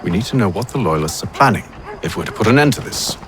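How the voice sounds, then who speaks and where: An actor playing a haughty middle-aged Templar leader with a sardonic, condescending manner in a video game cutscene. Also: male voice, fairly low pitch, expressive, fast, crowd noise, lightly acted. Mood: cool, commanding.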